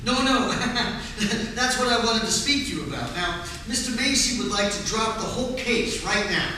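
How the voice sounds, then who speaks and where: A man's voice: high-pitched, lively intonation, moderate pace, pushed and bright, slight background noise. A man playing a nervous, fawning store employee in a radio play, stammering obsequiously. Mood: anxious, eager. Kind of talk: 1940s radio play acting on stage. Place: stage of a community theatre. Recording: echoey theatre from far off.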